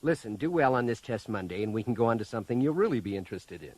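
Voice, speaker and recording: An actor playing a friendly, wry high school science teacher in a science fiction audio drama, bantering expressively. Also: male voice, expressive, rapid-fire, darkish tone, close to an everyday voice. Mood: wry, jovial.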